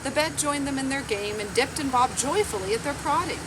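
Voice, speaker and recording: A woman around forty reading a picture book aloud in a measured, expressive storytelling way. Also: high voice. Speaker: library storytime reader. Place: outdoors on a garden bench. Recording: outdoor background noise with insects.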